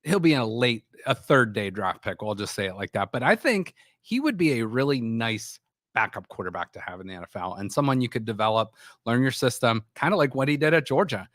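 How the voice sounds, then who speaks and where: A man's voice: medium pitch, sing-song, a little fast, a bit bright, no echo, studio quality. A man hosting a sports talk show, with a scratchy voice from a sore throat, rambling with frequent restarts. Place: small studio.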